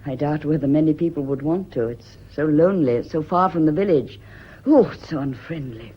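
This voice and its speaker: A woman's voice: deep voice, brisk, husky. An actress playing a genteel, uneasy lady's companion with a British accent, clipped and commanding, in a period radio mystery. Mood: evasive, uneasy. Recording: dull, muffled old radio recording.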